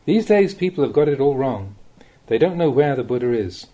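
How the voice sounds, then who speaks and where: A man reads spiritual teachings aloud in a soft, dark-toned voice with a slightly husky grain. His pitch rises and falls freely as he stresses key phrases. The delivery is plain-spoken and measured, like a monk instructing students. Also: sing-song. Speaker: Buddhist monk reading translated teachings. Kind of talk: reading a recorded Dhamma talk aloud.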